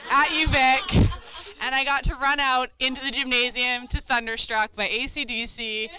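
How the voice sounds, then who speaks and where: A woman's voice: a little high, bright, forceful tone, low-quality recording. A woman introducing herself to a group of new students in a casual, chatty way with many fillers. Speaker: career services director.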